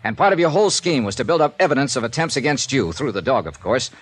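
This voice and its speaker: An actor playing a hard-boiled, sardonic male insurance investigator with a brisk, accusing delivery, in a 1950s radio drama. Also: mid-range voice, animated, breathy edge, no room sound.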